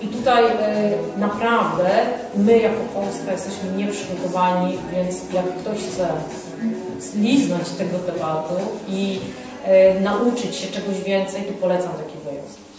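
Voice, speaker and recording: The voice reaches us through a heavily reverberant room, some way off the microphone. It is a woman at an ordinary mid pitch, explaining things emphatically like an instructor being interviewed. Music is clearly audible behind her. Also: fairly even intonation, unhurried. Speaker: ski and Nordic walking instructor, interview guest. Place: indoor ski-shop cafe. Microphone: handheld microphone with foam cover. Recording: echoey room, distant sound, music behind.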